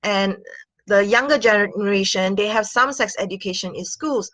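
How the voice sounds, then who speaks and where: A woman in her forties speaks earnestly into a webcam, her voice at a middle pitch and recorded dry and close in a small room. She talks in a casual vlog manner, filling pauses with "uh" and "um" and breaking into soft laughs. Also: dry recording. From Singapore. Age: 40s. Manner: earnest, with frequent fillers and gentle laughs. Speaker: sex and intimacy coach. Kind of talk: casual talking-head vlog.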